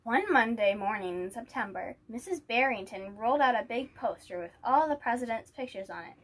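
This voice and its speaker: High-voiced, animated woman reading a story. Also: a little fast, low-quality recording. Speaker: story reader. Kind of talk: children's picture book read aloud.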